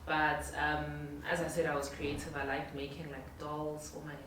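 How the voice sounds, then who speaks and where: A woman's voice: sits low, flat delivery, normal speed, recorded from a distance. A South African woman, a business founder, telling her story in an easygoing casual interview. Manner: easygoing, lightly laughing storytelling. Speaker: guest, entrepreneur. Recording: very echoey room, off-mic, noisy.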